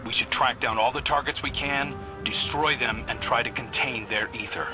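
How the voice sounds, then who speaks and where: A man voicing an earnest, brooding younger hunter in a video game, speaking in a serious, measured manner. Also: medium pitch, lightly acted, low-quality recording. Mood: serious, focused. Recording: game audio under music. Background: game music.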